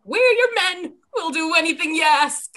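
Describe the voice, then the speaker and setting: A woman's voice: extremely high pitch, expressive, moderate pace, bright, forceful tone, full character voice. A woman playing Luigi as an earnest, stubborn plumber in an amateur script reading. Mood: determined, earnest.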